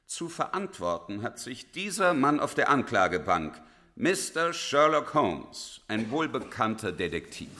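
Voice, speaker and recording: Bright, declamatory courtroom prosecutor. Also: male voice, mid-range voice, sing-song, a little slow. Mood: solemn, declamatory. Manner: declamatory, formal, probing. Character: formal, theatrical male Victorian public prosecutor. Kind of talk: German radio courtroom drama acting.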